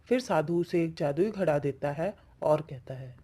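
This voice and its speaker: A woman's voice: low voice, lively intonation, normal speed, mellow. A woman reading scripted narration as the storyteller of a cartoon fable.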